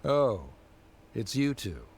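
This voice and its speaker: A man playing a stern, reserved middle-aged scholar father in a video game, with formal, measured speech. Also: mid-range voice, sing-song, a little hiss or hum.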